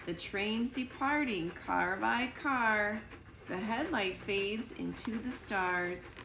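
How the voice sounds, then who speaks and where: A woman reading a children's picture book aloud in an expressive storytime style, with deliberate, playful emphasis on the rhyming words. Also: medium pitch, vocal fry, a little hiss or hum. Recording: roomy echo with music behind. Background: music.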